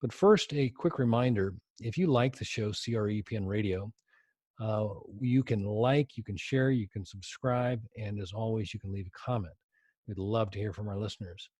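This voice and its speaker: A man hosts a business podcast, welcoming his guest and steering the talk. His voice is fairly low and sounds dry and close to the mic. He speaks in a friendly, chatty way, often pausing on fillers. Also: a little mellow, no echo. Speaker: podcast host. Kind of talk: podcast interview.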